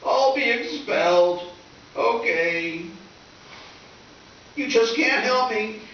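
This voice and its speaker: A man's voice: very high voice, expressive, takes it slowly, a bit bright, dull audio, full character voice. A man playing a scheming, melodramatic teenage schoolboy with slangy, whiny delivery in old-time radio comedy style. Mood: wounded guilt-tripping. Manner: whiny, exclamatory, theatrical pleading. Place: live stage performance in a reverberant room. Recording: echoey distant stage recording with hiss.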